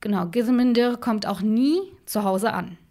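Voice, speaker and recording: A woman co-hosting a true crime podcast, telling the case in a relaxed, conversational way with fillers and asides. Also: medium pitch, moderate pace. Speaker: podcast co-host.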